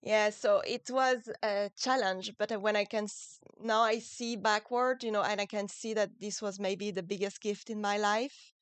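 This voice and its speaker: Woman, French accent, smooth clear voice, dry close sound, gentle non-native phrasing, interview guest. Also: medium pitch, fairly even intonation, normal speed, few pauses, no echo. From France. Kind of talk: casual interview.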